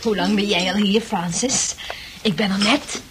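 An actress playing a chatty, nosy newcomer in a radio play, girlish and eager, with an excitable, coquettish manner. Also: medium pitch, unhurried, lightly acted. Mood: eager, coaxing. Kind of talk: Dutch radio play acting. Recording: noisy old radio drama recording.

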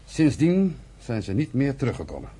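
An actor playing a militant, impatient pioneer settler in a Dutch radio play, arguing forcefully with his brother. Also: medium pitch, huge pitch swings, moderate pace, a little hiss or hum. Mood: persuasive. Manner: forceful, argumentative, scornful.

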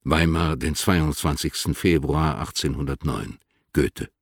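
An actor playing a dignified, courteous older poet speaking his letters in a literary radio drama, in a measured, formal, stately manner. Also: very deep voice, dry recording, clean studio sound, heavily acted. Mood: courteous reflection.

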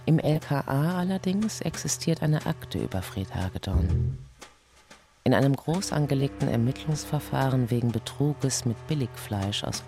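An actor playing a police investigator who narrates a murder case soberly and factually in an audio crime drama. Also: male voice, mid-range voice, creaky, warm tone. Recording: music bed under the voice. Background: instrumental music.

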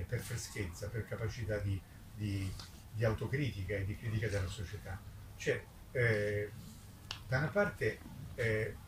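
A man presenting a book as its publisher, speaking emphatically and haltingly, often repeating his words. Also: on the low side. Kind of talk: informal live book presentation. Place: echoing room at a public book event. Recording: distant mic, echoey room, background noise.

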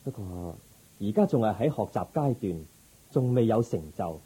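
A man playing a calm, resolute young prince turned monk in a radio drama, speaking in a measured, gentle, formal way. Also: lowish voice, breathy edge, poor audio. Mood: calm, sincere. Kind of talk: Cantonese Buddhist radio drama acting.

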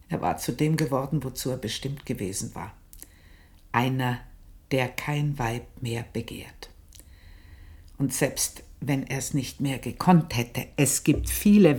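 Low, slow, proud old housemaid. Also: female voice, darkish tone, roomy sound. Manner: confiding, unhurried storytelling with pointed pauses. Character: earthy, proud aging housemaid reminiscing about past desires. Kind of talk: dramatic monologue in a German radio play.

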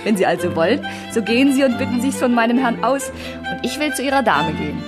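A woman acts a young country gentlewoman in a period radio play. She is spirited, frank and kind, with a fairly high voice over clear music. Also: expressive, a little fast, natural voice. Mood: lively, open. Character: lively, warm-hearted young country gentlewoman minding children. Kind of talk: period radio drama acting.

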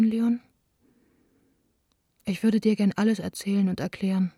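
An actress plays a young theatre woman confiding a letter in a radio drama. She is introspective, lonely and full of longing. Her voice is mellow and fairly even, in a very dry recording. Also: medium pitch, no room sound, close to an everyday voice.

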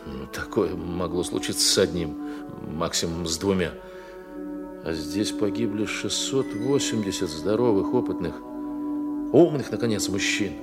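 A man acts a brooding male space officer in a science-fiction radio play. His voice is very deep and his manner grave and questioning, with loud music underneath. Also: natural voice. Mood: grave, probing. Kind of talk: science-fiction audio drama acting.

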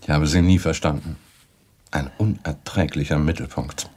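An actor in a radio play, playing a bitter, tipsy, rambling middle-aged man who is cynical about love, with a husky voice. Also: steady tone, background noise. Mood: bitter, dismissive. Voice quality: deep gravelly, husky voice. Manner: rambling, halting, grumbling, swinging into outbursts.

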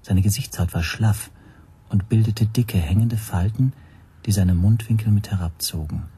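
An actor playing a watchful first-person narrator in a radio drama, with a breathy, husky voice. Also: male voice, fairly low pitch, warm tone.

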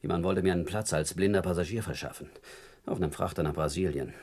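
An actor playing a brazen, menacing criminal on the run in a vintage radio crime play, with a mocking, slangy manner. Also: male voice, sits low, quick pace, a little mellow. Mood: calculating.

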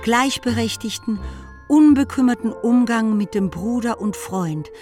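A woman's voice: on the high side, expressive, a little mellow. Composed woman narrator over music. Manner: measured, composed. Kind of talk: scripted literary narration.

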